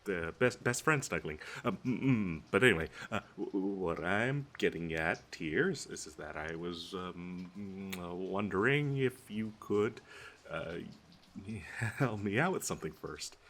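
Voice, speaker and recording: A man playing a nervous, lovestruck and obsessive best friend in an intimate audio roleplay, stammering and haltingly giggling as he speaks to the listener. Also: low-pitched, fairly bright tone, slight background noise. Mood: flustered, shy.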